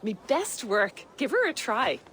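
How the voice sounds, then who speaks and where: Very animated, cheeky Irish bow-maker. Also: female voice, on the high side, measured pace, slight background noise. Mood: teasing. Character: cheeky, teasing Irish bow-maker woman, bold and lively. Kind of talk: game voice acting.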